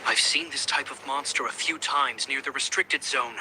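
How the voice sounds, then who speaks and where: An actor playing a steady, protective young guard and loyal brother in a video game, speaking earnestly and resolutely. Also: male voice, mid-range voice, moderate pace, natural voice. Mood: calm, cautious. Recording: clear background noise.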